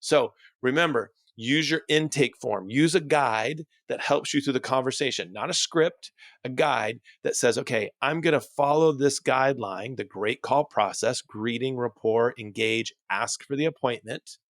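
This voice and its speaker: A man's voice: mid-range voice, a little slow, a bit bright, dry recording. Man coaching in a dry, close sound. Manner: instructional, emphatic, rhetorical questions. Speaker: dental practice coach and host. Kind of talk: motivational coaching talk to camera or listeners.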